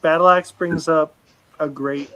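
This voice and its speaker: A man's voice: fairly high pitch, steady tone, measured pace, a crowd in the background, low-quality recording. A man in his forties talking on a live show in a rambling, earnest way, often restarting sentences and swearing casually. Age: 40s. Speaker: livestream show regular.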